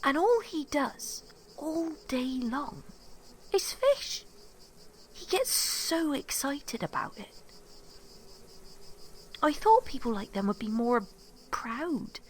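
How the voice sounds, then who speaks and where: A woman's voice: high-pitched, very animated, takes it slowly, pauses often, slight background noise, heavily acted. An actress playing a starstruck, naive and outspoken young woman in an audio drama, earnest and candid. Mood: wondering, musing. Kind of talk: naturalistic audio drama acting.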